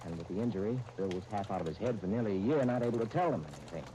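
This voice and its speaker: A man's voice: lowish voice, expressive, very fast, natural voice. A man playing a grave, commanding doctor in a 1930s radio drama. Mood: grave, steady. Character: grave, commanding doctor breaking momentous news to friends. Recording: noisy 1939 radio broadcast disc.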